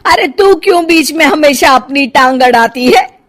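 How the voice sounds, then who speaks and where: Woman playing elderly Indian mother, high voice, plaintive, snappish, doting, storybook drama. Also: moderate pace, a bit bright. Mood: irritated. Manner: plaintive, scolding.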